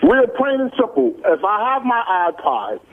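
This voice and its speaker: A man calling a radio show over a narrow phone line, chatting excitably in casual street slang and repeating himself. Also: very high voice, moderate pace, gravelly, a little hiss or hum.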